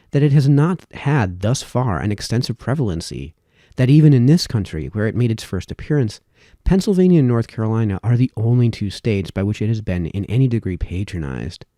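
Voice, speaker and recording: Low, expressive male reader. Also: dry recording, clean studio sound. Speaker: reader of historical essays. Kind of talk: reading formal prose aloud.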